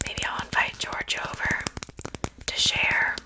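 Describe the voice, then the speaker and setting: Whispering witch, played by a woman, crisp and confiding. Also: pushed and bright. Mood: musing. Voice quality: breathy, unvoiced whisper. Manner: unhurried, confiding, casually haughty. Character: chatty, gossipy witch cooking a mortal into stew. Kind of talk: ASMR roleplay.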